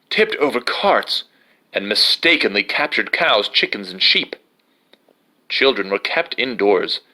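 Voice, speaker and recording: A man's voice: medium pitch, pushed and bright. A man giving a dramatic, theatrical and emphatic reading of a children's story, with music behind him. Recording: music under the voice.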